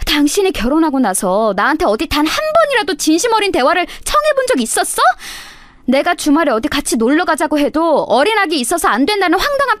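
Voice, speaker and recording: A woman's voice: high voice, dry recording, pitched above the performer's own voice. A woman voicing a wronged young wife and mother, indignant and confrontational, in a dramatized story. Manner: confrontational, wounded. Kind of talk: dramatized radio story dialogue.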